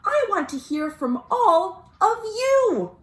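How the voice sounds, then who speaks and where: A woman voicing an earnest, patient sloth who makes slow, drawn-out campaign speeches in a children's storybook. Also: extremely high pitch, big pitch swings, a bit bright, reverberant, pitched above the performer's own voice, exaggerated voice. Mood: earnest, hopeful. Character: earnest, patient sloth running for jungle president.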